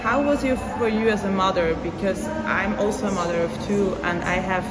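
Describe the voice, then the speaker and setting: A woman's voice: medium pitch, a little fast, a loud crowd. A woman hosting a casual, chatty interview, speaking informally and personally with non-native English phrasing. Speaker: podcast interview host. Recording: echoey room, loud music behind.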